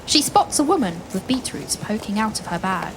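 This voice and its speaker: Woman playing quest narrator for a kids' audio play: theatrical, mid-pitched, suspenseful pauses, speaks straight to listeners, noticeable background ambience. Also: very animated, heavy background noise. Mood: suspenseful narration. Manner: addresses listeners directly, dramatic pauses. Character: adventurous woman storyteller guiding listeners on a quest. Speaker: storyteller of a participatory audio play. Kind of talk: theatrical children's storytelling.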